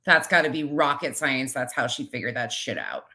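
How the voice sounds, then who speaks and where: A woman's voice: extremely low pitch, lively intonation, normal speed, sharp tone. A woman giving casual reaction commentary as a channel host, blunt and sarcastic, with swearing.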